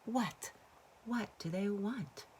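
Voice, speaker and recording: A woman voicing a kindly enchanted female wren in a storybook, gentle with a touch of sternness. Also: mid-range voice, takes it slowly, a little hiss or hum, close to an everyday voice.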